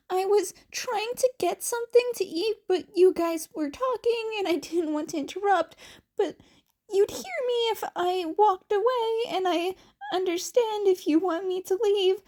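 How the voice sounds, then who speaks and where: A woman's voice: very high voice, a little slow, darkish tone, pitched above the performer's own voice. A woman voicing an anxious, apologetic teenage boy who rambles and stammers in an audiobook-style story. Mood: panicked, apologetic.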